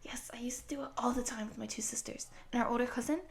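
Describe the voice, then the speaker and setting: A woman voicing a friendly, excitable eighteen-year-old wolf girl who rambles from one thing to the next in an audio roleplay for the listener. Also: fairly high pitch, quick pace, vocal fry. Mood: excited, curious. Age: young adult.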